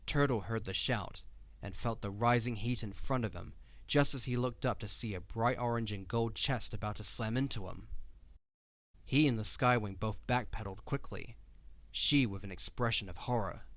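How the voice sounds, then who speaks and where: A man's voice: lowish voice, moderate pace, fairly bright tone, no room sound. A man reading scripted narration for a fantasy audio drama.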